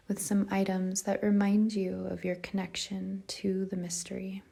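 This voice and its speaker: Female meditation guide: unhurried and even, soft breathy delivery with a gravelly fry at the edges. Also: mid-range voice. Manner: calm, measured, gentle, with short phrases and long pauses. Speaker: yoga nidra meditation guide. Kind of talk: guided meditation, slow soothing narration.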